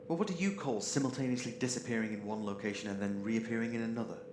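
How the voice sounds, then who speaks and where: A man's voice: lowish voice, quick pace, natural voice. A man playing a bossy, sarcastic spaceship crewman in a sci-fi audio drama, badgering others with emphatic delivery. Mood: argumentative. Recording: echoey room with faint noise.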